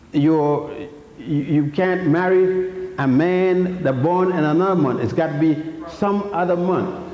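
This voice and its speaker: An older man from Ethiopia giving a public history lecture, blunt and defiant, rambling with many restarts. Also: on the high side. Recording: echoey hall, dull lo-fi sound.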